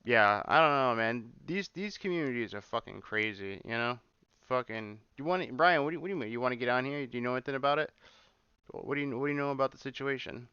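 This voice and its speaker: A man's voice: mid-range voice, fast, pushed and bright, clean tone, dry recording, highs cut off. A man hosting a casual livestream, rambling and repeating himself, swearing often as he reacts. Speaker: livestream host. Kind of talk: casual livestream chat reacting to clips and viewer comments.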